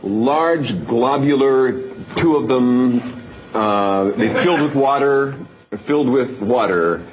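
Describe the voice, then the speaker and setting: A man giving halting clues in a radio game, full of fillers. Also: medium pitch, muffled recording, noisy background. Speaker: game contestant.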